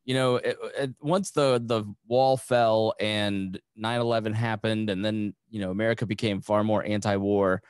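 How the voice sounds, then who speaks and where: A man's voice: lowish voice, fairly even intonation, normal speed, few pauses, smooth, dry recording, clean studio sound. A man in his thirties hosting a podcast in a casual, conversational style. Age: 30s. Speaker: podcast host.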